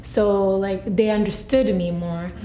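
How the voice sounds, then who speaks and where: A woman's voice: medium pitch, fairly even intonation, a little slow, warm tone, reverberant, a little hiss or hum. A young woman from Japan with a Japanese accent, answering interview questions frankly with lots of "like" fillers. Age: young adult. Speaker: student interviewee.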